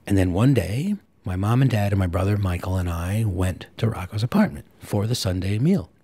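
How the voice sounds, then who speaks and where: A man's voice: on the low side, expressive, moderate pace, dark tone, breathy edge, dry recording. A man telling personal stories about his family, recalling what was said.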